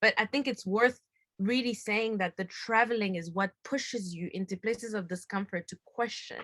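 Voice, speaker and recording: A woman's voice: mid-range voice, normal speed, a bit bright, no echo. Young congolese woman on a video call, passionate, earnest, dry close sound. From Democratic Republic of Congo. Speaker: guest filmmaker. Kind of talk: casual discussion. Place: home living room. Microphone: video call laptop camera.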